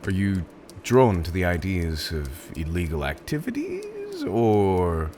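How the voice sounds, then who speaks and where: Man playing a low, theatrical supervillain interviewer. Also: huge pitch swings, takes it slowly, background noise, full character voice. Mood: amused, candid. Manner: hesitant, breaking into chuckles, mock-professional. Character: theatrical, mildly exasperated, polite male supervillain interviewer. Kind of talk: roleplay audio drama, ASMR.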